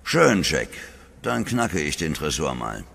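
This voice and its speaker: An actor playing a blunt, gruff police officer in a German radio play, with a gravelly voice. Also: male voice, a bass voice, very animated, slow, fairly bright tone, big-room sound, faint music behind, full character voice.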